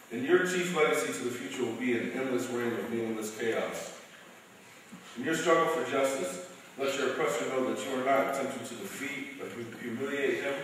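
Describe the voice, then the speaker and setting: In a large, heavily echoing chapel, a solemn male civil rights preacher played by a young man delivers a speech. He is far from the microphone, and his medium-pitched voice reads out a sermon as part of a staged dramatic reading. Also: a bit bright, natural voice. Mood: solemn, exhorting. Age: young adult. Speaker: student reader. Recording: echoey hall, distant mic, faint noise.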